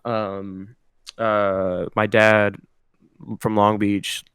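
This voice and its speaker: A man's voice: fairly low pitch, level tone, drawn-out words, fairly bright tone, smooth, no echo. A young man in his twenties from Southern California co-hosting a podcast, rambling casually with slang and fillers. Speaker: podcast co-host. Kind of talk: casual chat.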